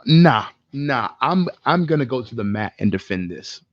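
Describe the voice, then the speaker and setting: A man's voice: medium pitch, big pitch swings, moderate pace, no echo, lo-fi sound. A man podcast co-host chatting casually, opinionated and emphatic, swearing freely as he makes his points. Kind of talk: casual chat about video game movies.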